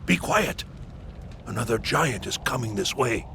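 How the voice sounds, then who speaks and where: An actor playing a grave, commanding warrior with a gravelly voice in a mythology game. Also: male voice, mid-range voice, lively intonation, unhurried, noisy background. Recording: loud music under the voice.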